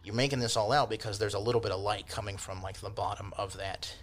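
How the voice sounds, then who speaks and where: A man's voice: on the low side, fairly even intonation, fast. A man acting as game master, narrating a tabletop fantasy game in a casual, improvised, conversational way with loose descriptions and asides.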